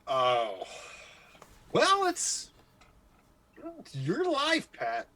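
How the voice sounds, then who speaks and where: A man playing an excitable, jealous, insecure morning radio host in a radio comedy-drama, stammering, gushing and evasive. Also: high-pitched, extremely slow, full character voice. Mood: reluctant.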